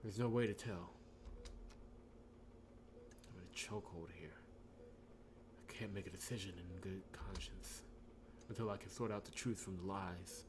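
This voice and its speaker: A man's voice: deep voice, steady tone, normal speed, long pauses, natural voice. A man voicing an earnest, stubbornly heroic young amnesiac in a visual novel, emphatic and pleading. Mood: troubled. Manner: emphatic, pleading, swearing when frustrated. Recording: clear background noise.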